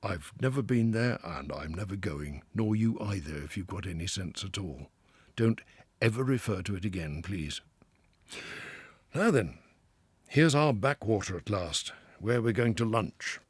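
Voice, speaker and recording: A man voicing a hearty, self-assured male water rat who talks loudly and with relish. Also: fairly low pitch, unhurried, husky, dry recording. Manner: loud, confident, lively, with chuckles.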